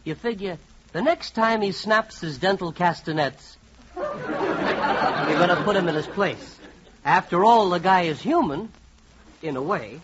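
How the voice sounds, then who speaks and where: High-pitched, animated male radio narrator. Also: unhurried, long pauses, a crowd in the background. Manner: wry, conversational. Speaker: comedy show narrator. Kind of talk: 1940s radio comedy narration in second person. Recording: muffled, faintly noisy 1940s radio recording.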